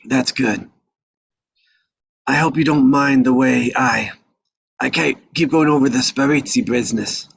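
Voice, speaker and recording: A man playing a weary, forgetful old mafia patriarch in a script read, speaking in a rambling, halting way. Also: medium pitch, gravelly, slight background noise. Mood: reflective. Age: older. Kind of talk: live table read of a crime film script.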